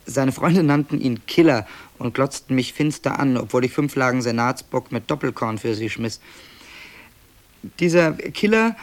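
A man's voice: medium pitch, close to an everyday voice. A man playing a wry, digressive author who presents found tapes in a radio play, speaking conversationally and hesitantly in a dryly matter-of-fact way. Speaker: narrator.

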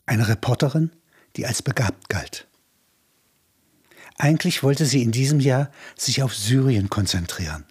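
Male narrator for literary radio drama, very husky and breathy, mid-pitched.

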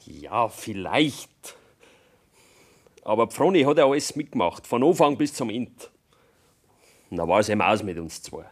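Bitteren jungen bayerischen Bauernsohn, sprunghafte Tonhöhe. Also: male voice, lowish voice, very animated, a little slow, long pauses, dry recording. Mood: subdued, confessing. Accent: Bavarian. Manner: brooding, accusing. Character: bitter, wounded young farmer's son, stubbornly resentful. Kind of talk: German dialect radio drama acting.

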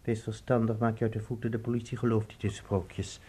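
A man's voice: fairly low pitch, level tone, a little fast, natural voice. A man playing a grave, menacing, theatrical avenger in a radio drama, speaking with solemn, portentous weight. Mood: solemn, threatening. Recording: faint noise and clicks.

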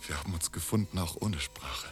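An actor playing a passionate, impatient young Englishman in a radio drama, agitated and emphatic, moving between hushed lines and outbursts. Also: deep voice, animated, fairly quick, soft background music. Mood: earnest, confessing. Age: young adult. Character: young, hot-headed, impatient, passionate Englishman, scornful and pleading.

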